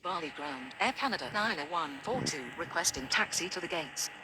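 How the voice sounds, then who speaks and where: A woman's voice: on the low side, lively intonation, a little fast. A woman speaking scripted, formulaic air traffic radio phraseology. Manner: formulaic callouts.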